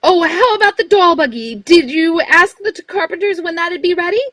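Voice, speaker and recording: A woman's voice: very high-pitched, normal speed, a bit bright, no room sound. A woman playing a fussing older Mrs. Santa with a bustling, motherly scolding manner in a live old-time radio play reading.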